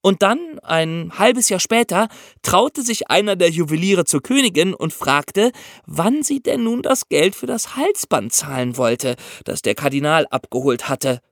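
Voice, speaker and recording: A man hosting a podcast, telling history in a conversational, expressive storytelling style for young listeners. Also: sits high, unhurried, gravelly, no room sound, studio quality. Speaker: podcast host.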